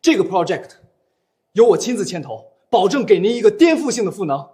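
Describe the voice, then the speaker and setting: An actor playing an eager, fawning male marketing planner who is showy and full of jargon in an animated comedy drama. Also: high-pitched, fairly quick. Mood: fawning eagerness.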